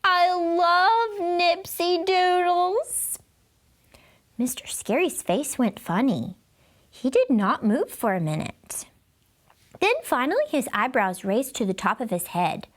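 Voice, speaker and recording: A woman narrates a children's book aloud. Her voice is high and lilting, and she takes her time over each sentence in an expressive read-aloud style. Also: unhurried. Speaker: storybook reader.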